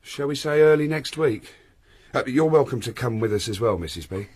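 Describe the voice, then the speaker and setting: A polite, slightly awkward police detective in a radio drama. His voice is medium-pitched and a little husky, and he speaks courteously. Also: expressive, normal speed, a little mellow, dry recording.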